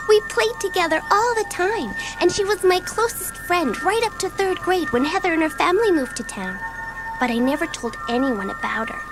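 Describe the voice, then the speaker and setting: Casting: woman to play a bored, exaggerating schoolgirl for audiobook drama; extremely high voice, highly animated, full of complaint. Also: poor audio. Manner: theatrical, complaining delivery. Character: dramatic, bored, sulky young girl, impatient and exaggerating.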